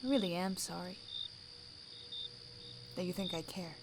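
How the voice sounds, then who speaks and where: A woman's voice: fairly low pitch, a little slow. Woman playing a sardonic, hardened outlaw soldier, noisy surroundings. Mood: flat, mocking. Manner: sardonic, blunt. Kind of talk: audio drama acting.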